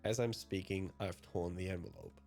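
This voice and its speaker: Brief: male let's play host, native German, fairly deep voice, narrating a visual novel over music. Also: wildly swooping pitch, moderate pace, vocal fry, a little mellow. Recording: music behind the voice.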